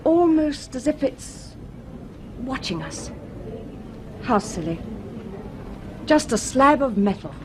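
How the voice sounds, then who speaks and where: A sensible young female student in a radio play, high voice, earnest, noisy recording. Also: sing-song, takes it slowly, pauses often, heavily acted. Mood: wondering, uneasy. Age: young adult. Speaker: actor in a radio drama. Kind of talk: radio drama acting.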